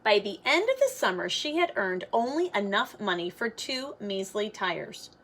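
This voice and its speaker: A woman's voice: mid-range voice, big pitch swings, normal speed, fairly bright tone, slight background noise. A woman reading a children's picture book aloud like a teacher, expressively, with simple explanatory asides.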